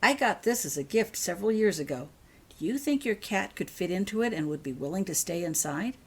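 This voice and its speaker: A woman's voice: medium pitch, lively intonation, fairly quick, breathy edge. Storybook mother, read by a woman: decisive, caring, firm with her children.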